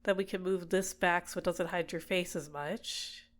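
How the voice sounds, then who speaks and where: Possessive female villain for ASMR roleplay, played by a woman: lowish voice, soft, coaxing, manipulative, tenderly doting. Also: fairly even intonation, moderate pace, natural voice. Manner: soft-spoken, teasing, drops into whispers. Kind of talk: ASMR roleplay, intimate personal attention.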